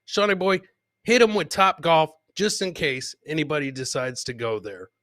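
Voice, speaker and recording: A man's voice: on the high side, normal speed, background noise. A man hosting a casual comedy livestream, talking in a hyped, slangy way and repeating phrases for emphasis while getting over a cold. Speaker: podcast host.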